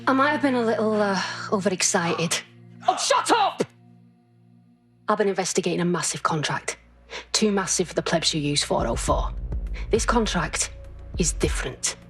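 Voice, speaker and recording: A brash vigilante from a video game, voiced by a woman. She is cocky, chatty and teasing with a dangerous streak, over quiet background music. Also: mid-range voice, lively intonation, normal speed, pauses often, lightly acted. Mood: brash, excited. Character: cocky, playful, dangerous female vigilante contract-giver.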